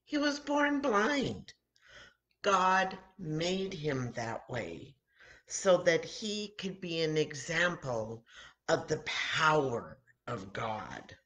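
Low-voiced older American woman reading a children's story. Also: very animated, fairly bright tone. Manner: dramatic, deliberate, acting out dialogue. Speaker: children's story time host at a church. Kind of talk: expressive read-aloud of a children's Bible story. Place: home kitchen, indoors. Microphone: webcam or laptop mic at arm's length.

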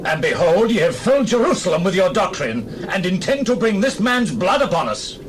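An actor playing a stern, accusing high priest in a dramatized Bible reading, formal and indignant. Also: male voice, sing-song, normal speed, a little hiss or hum, rough recording. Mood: stern accusation.

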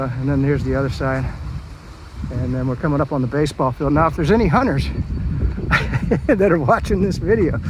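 A man's voice: a little high, slight background noise, low-quality recording. A middle-aged man talking casually while he walks a city park trail and films on a handheld phone, folksy and rambling with many fillers. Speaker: park walk-through vlogger. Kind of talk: casual walking commentary. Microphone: handheld phone camera.

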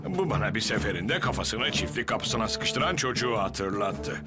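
A man's voice: fairly low pitch, animated, moderate pace, lightly acted. A man playing a blustering, self-assured senior fire officer in a Turkish cartoon dub, pompous and full of exclamations. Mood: confident. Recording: loud music behind the voice.

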